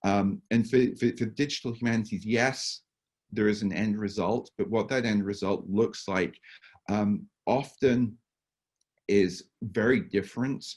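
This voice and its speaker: A man with a hoarse, fading voice and a cough, answering workshop questions over a video call with many stammered restarts and fillers. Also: fairly low pitch, unhurried, a little mellow. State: hoarse, losing his voice with a cough. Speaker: workshop presenter.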